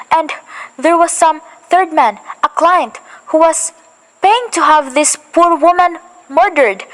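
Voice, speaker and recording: A student actress playing an anxious, demanding invalid housewife in a school radio play, fretful and impatient. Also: female voice, very high voice, low-quality recording. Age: young. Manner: fretful, impatient, talks fast when agitated.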